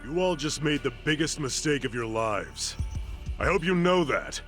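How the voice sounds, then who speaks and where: A man's voice: on the high side, animated, normal speed, fairly bright tone, soft background music. A man playing a smug, menacing yakuza villain who runs a facility in a game cutscene, condescending and threatening. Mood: confident, threatening. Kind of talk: dramatic game cutscene voice acting.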